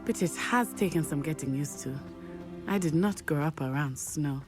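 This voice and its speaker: An actress playing a friendly, adventurous teenage schoolgirl witch in a video game, explaining things in a lively, precise way. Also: low-pitched, normal speed, over music, lightly acted. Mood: friendly, chatty.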